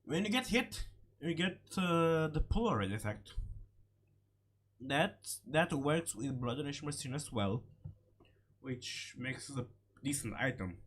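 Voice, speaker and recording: A man giving casual, unscripted game commentary, halting and repeating short phrases, his voice slightly hoarse from a cold. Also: on the high side, lively intonation, a bit bright. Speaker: gaming video creator.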